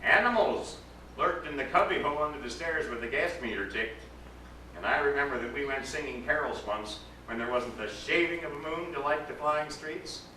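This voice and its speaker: A man giving a dramatic live reading of a story, expressive and theatrical, lingering over his words. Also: on the high side, normal speed. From United States. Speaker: actor reading a story aloud. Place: event at a ranch, roomy space. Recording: echoey distant live recording with background noise.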